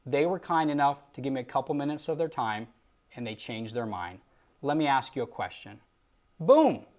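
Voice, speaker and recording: A man's voice: medium pitch, lively intonation, normal speed, darkish tone. A man working as a sales trainer, giving instructional commentary in an emphatic, rhetorical coaching style and acting out example lines. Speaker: Medicare sales trainer and host.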